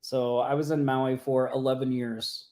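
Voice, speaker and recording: A man's voice: medium pitch, flat delivery, measured pace, warm tone. A man from Oklahoma co-hosting a casual livestream chat, talking loosely with many fillers and restarts. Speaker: co-host. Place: home or office in Las Vegas.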